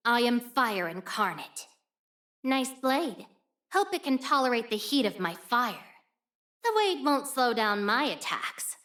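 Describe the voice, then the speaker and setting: A woman's voice: fairly high pitch, a bit bright. A woman voicing a proud, blunt young female fire warrior for a video game, with cool, cocky, nonchalant delivery. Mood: cocky, defiant. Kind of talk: scripted game character voice lines.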